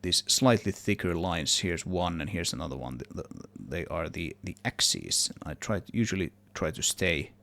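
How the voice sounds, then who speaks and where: A man giving a casual software tutorial, thinking aloud, correcting himself and trailing off as he works. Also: sits low, expressive, moderate pace, vocal fry, few pauses. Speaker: tutorial presenter, game audio developer.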